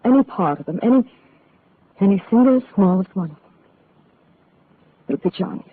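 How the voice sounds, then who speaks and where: A woman's voice: medium pitch, expressive, moderate pace, close to an everyday voice. An actress playing a heartbroken woman clinging to her lost love, pleading and emotional in 1940s radio drama style. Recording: tinny old radio broadcast with faint hiss.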